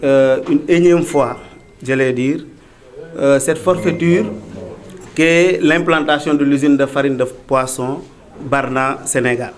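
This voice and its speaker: A man from Senegal with a Senegalese accent speaking as spokesman for a local collective, measured, with hesitant fillers. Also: mid-range voice, steady tone. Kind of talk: press statement.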